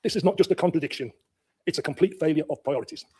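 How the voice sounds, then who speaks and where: Male councillor. Emphatic prepared political speech, dark husky tone, brisk pace. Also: on the high side. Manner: emphatic, critical. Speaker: local councillor.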